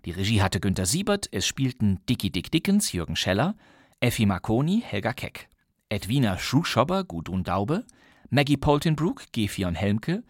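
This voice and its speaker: Radio announcer guy reading credits, low voice, lively up and down. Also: normal speed, no echo, studio quality.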